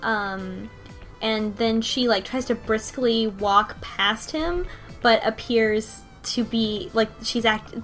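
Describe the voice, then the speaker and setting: A woman's voice: fairly high pitch, slow pace, a bit bright, soft background music. A woman co-hosting a casual podcast, recapping a story in a rambling way with many ums and restarts. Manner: rambling recap with frequent ums and self-corrections. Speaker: podcast co-host. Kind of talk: casual chat recapping a visual novel.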